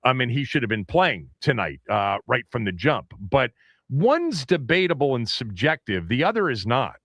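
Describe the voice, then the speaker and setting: Animated male radio talk host. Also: mid-range voice, normal speed, no echo, studio quality. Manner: animated, presses callers with questions, interrupts.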